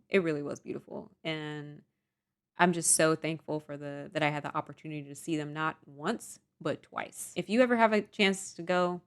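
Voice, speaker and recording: A young woman vlogger rambling softly and self-deprecatingly through a casual storytime monologue. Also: low-pitched, clean tone.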